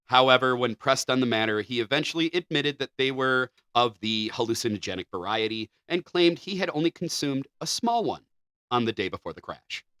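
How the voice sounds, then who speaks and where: A man's voice: medium pitch, lively intonation, a little fast, sharp tone, clear, resonant tone, no room sound. A man in his thirties co-hosting a podcast, playfully reading out a news story and riffing on it. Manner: playful, reads story then riffs. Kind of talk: casual chat and reading aloud.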